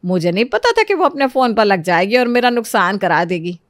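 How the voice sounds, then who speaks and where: Motherly cartoon aunty, female performer, middle-aged, gentle and put-upon, medium pitch, dry studio sound. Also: big pitch swings, a little fast, dry recording, natural voice. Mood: plaintive. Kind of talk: Hindi animated moral story acting.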